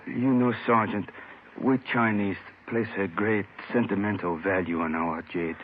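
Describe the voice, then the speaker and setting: A man playing a courteous, sentimental Chinese jade dealer in 1950s radio drama, speaking in a formal, measured way. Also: lowish voice, fairly even intonation, slight background noise, lightly acted. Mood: polite, earnest. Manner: formal, measured, deliberate phrasing. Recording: muffled old radio broadcast, phone-like sound.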